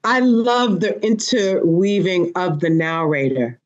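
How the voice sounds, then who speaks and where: A woman's voice: fairly low pitch, slow pace, lo-fi sound. A woman hosting a casual panel chat, prodding her guests in a teasing way and repeating her phrases. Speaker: host of a panel discussion.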